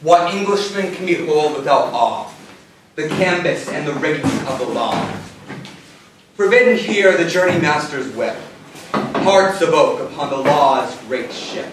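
A man's voice: fairly high pitch, expressive, a little slow. A man playing a pompous, officious English prosecutor in a period stage play, with declamatory delivery. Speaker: stage actor. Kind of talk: theatrical period stage acting, partly rhymed verse. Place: large theatre stage, indoors. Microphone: distant camera in the audience. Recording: echoey theatre from far off, background noise.

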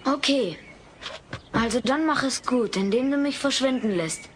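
Small boy, high voice, eager, pleading, comedy audio play, faint noise behind. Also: measured pace.

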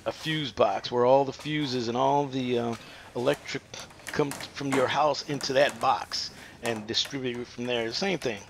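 A man working as a plumber, explaining a pipe installation in an unfinished house, pausing often with fillers. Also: mid-range voice, fairly even intonation, background noise. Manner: halting, many "uh" fillers. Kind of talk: hands-on how-to explanation. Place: unfinished house under construction, indoors.

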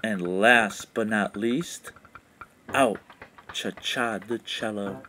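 A man's voice: lowish voice, drawn-out words, pushed and bright, slight background noise. A man reading a children's storybook aloud in a rhythmic, sing-song way. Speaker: children's book read-aloud narrator. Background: instrumental music.

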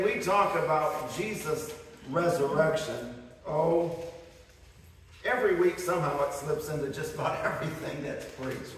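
A middle-aged pastor from the northern United States delivers a fervent sermon in a fairly high voice, building emphasis and slipping into stories. He sounds distant from the mic, and his words ring through a long, echoing church hall. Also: fairly bright tone. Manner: fervent, rising emphasis with storytelling asides. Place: church sanctuary, indoors. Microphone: far camera, speaking at a lectern. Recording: echoey hall recorded from far off.

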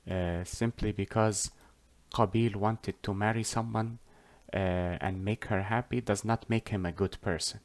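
A man whose first language is Arabic tells a religious story as a lesson, talking deliberately with many pauses and self-corrections. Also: lowish voice, steady tone. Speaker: religious storyteller teaching on a whiteboard.

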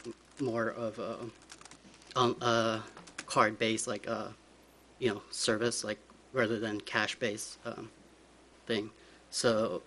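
A nervous young man giving informal, halting public comment at a hearing. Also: on the low side, a bit bright, a little hiss or hum. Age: young adult. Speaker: business applicant speaking at a public hearing. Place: large council chamber, indoors. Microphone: podium microphone.

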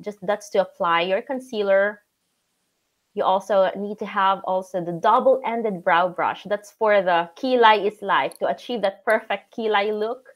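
A woman in her thirties with a Filipino accent and a raspy voice, hosting a live stream from home over a video call. She talks at a medium pitch and runs through her tips in a chatty way. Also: unhurried. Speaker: host of a live show. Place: home room with shelves.

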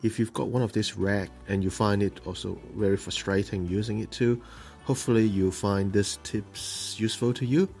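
A man with a low voice hosts a model-building tutorial. He explains each step plainly and calmly, pausing with ums as he works. Soft music plays faintly underneath. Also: vocal fry. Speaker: host of a model-building show. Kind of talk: hobby tutorial voice-over.

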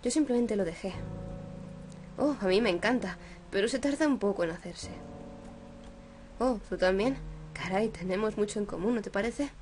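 Woman reading as a dazed, gentle young man. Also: medium pitch, moderate pace, long pauses, faint music behind, natural voice. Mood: friendly, absorbed. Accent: Spain. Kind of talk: reading game dialogue aloud.

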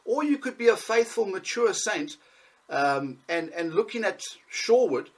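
A man in his thirties with a Southern African accent, teaching Bible lessons over a video call, talking with many pauses and restarts. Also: sits high, animated. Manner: hesitant, many ums and restarts.

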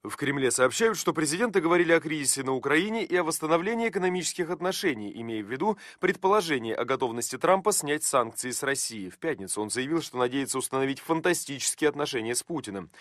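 Male voice-over reader for news quotes, quick pace, very dry studio sound. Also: medium pitch, expressive, fairly bright tone, no echo. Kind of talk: scripted news voice-over reading.

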